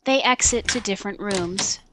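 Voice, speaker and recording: A woman with a mid-pitched voice reads scripted stage directions as a narrator. There is loud noise and clicking in the recording. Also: moderate pace.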